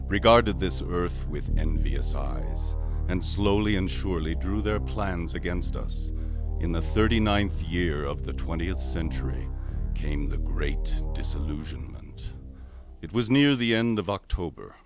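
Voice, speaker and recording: A man playing a grave narrator of an alien invasion tale in a radio drama, solemn, measured and ominous. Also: a bass voice. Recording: dry close recording with music behind.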